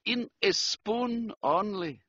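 A storybook German count, stiff and florid in manner. The voice is bright and forceful, swinging widely in pitch, with a slightly rough edge. The recording is a little muffled. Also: male voice, big pitch swings, unhurried, dry recording, heavily acted. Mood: solemn. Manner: pompous, florid, ceremonious. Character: stiff, formal, effusive German count, royal equerry.

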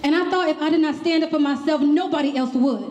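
A woman's voice: sits high, quick pace, a little mellow. A woman delivering an impassioned monologue on stage. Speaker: stage performer. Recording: reverberant hall.

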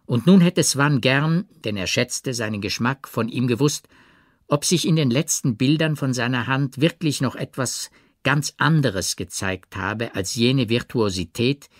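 A man reads literary prose as an audiobook narrator. His voice sits at a middle pitch, with measured, expressive and precise phrasing. The recording is close and dry. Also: dry recording.

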